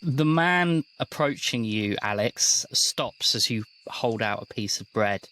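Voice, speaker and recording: A man's voice: mid-range voice, animated, slow, sharp tone, low-quality recording. A man working as game master, narrating a tabletop roleplaying game in a conversational way.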